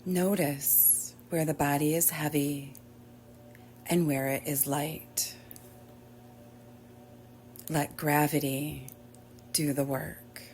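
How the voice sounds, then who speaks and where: Very slow, soothing woman guiding meditation. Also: low voice, little pitch movement, a little hiss or hum. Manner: soothing, gentle, unhurried with long pauses. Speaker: yoga nidra teacher. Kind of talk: guided relaxation meditation. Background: soft background music.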